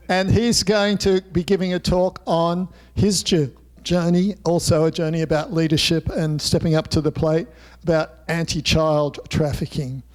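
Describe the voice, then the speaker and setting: A man hosts a club meeting and introduces the guest. His voice is somewhat high, and he jokes and rambles with frequent fillers. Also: a little mellow. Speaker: club host introducing a speaker. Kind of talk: casual introduction.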